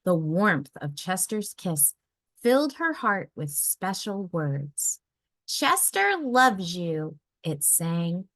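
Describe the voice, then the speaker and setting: A woman narrating a children's storybook as a read-aloud, with careful, expressive delivery. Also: lowish voice, slow pace, dry recording.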